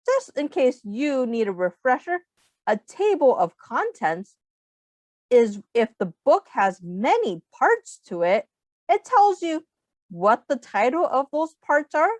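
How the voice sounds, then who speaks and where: A woman with a high, hugely varied voice reads aloud to young children. She teaches as she goes, taking her time, explaining in simple terms and putting questions to the listeners. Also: takes it slowly, no echo. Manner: instructive, expressive, addressing young children with questions.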